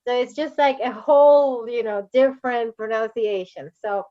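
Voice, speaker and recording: A woman's voice: fairly high pitch, steady tone, normal speed, muffled recording. Chatty woman with a Puerto Rican accent. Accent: Puerto Rican Spanish. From Puerto Rico. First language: Spanish. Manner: chatty, laughs easily, restarts sentences. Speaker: podcast host. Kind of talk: conversational interview.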